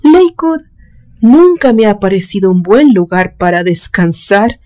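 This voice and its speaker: A woman voicing a brooding, uneasy young man in a story, murmuring slowly and hesitantly. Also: mid-range voice, lively intonation, warm tone, natural voice. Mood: uneasy, reluctant.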